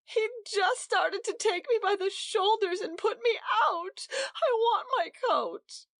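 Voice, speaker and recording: A sobbing, stammering young wife. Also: female voice, very high-pitched, lively intonation, slow, fairly bright tone, no room sound, voice pushed up, exaggerated voice. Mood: wailing. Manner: stammering through sobs. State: crying.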